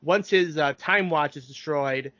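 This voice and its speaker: A man's voice: a little high, unhurried, a bit bright, no echo, dull audio. A man co-hosting a podcast, talking casually as he recaps a show. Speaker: podcast co-host. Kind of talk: casual chat recapping a TV cartoon.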